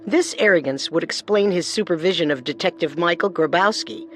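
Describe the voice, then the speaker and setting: A woman co-hosting a podcast, giving scripted true-crime narration with deliberate, dramatic storytelling. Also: fairly low pitch.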